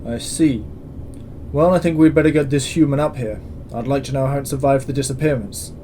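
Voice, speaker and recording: A man playing a stern, measured space station commandant in a science-fiction audio drama, formal and dryly authoritative. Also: medium pitch, warm tone, slight background noise, lightly acted. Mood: stern, wry.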